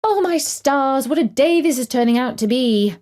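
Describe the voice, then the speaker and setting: A woman's voice: sits high, lively intonation, normal speed, a little mellow, voice pushed up. Woman acting a harried, exasperated storybook mum. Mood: indignant, flustered. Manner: dramatic, exclaiming. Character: harried, exasperated, superstitious mother.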